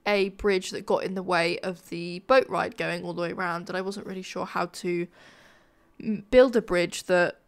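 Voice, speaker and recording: A woman giving casual, informal commentary over a game building video, sounding nasal and a bit flat from a sore throat. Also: fairly low pitch, normal speed, smooth. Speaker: gaming video creator, narrator.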